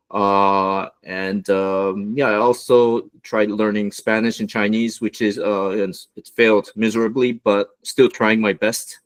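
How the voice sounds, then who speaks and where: A man from Los Angeles giving an online business presentation as a guest mentor, with a relaxed delivery and many fillers. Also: lowish voice. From United States, Los Angeles.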